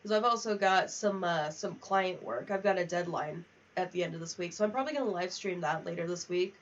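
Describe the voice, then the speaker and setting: A woman's voice: on the low side, brisk. Distant-sounding young woman chatting on stream. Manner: rambling, chatty asides. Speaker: livestreamer. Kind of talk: casual livestream chat while gaming. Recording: distant, slightly muffled mic.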